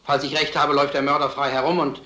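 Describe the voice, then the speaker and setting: An actor playing an earnest, stubborn police detective, speaking formally and with measured insistence in an old crime film. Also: male voice, a little high, level tone, sharp tone. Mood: earnest, insistent. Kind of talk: vintage German crime film acting. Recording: roomy old film soundtrack.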